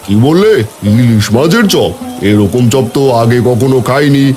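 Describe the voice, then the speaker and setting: A man's voice: mid-range voice, animated, normal speed, darkish tone, close to an everyday voice. A man voicing a self-important, wheedling village headman in a Bengali cartoon. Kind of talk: Bengali cartoon story voice acting. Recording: music and rain behind voice.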